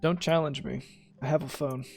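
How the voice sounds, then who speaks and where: Creaky-voiced man gaming over music. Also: fairly high pitch, fairly even intonation, normal speed, a little mellow. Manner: joking, slangy. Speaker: game streamer. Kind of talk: casual chat while playing a video game.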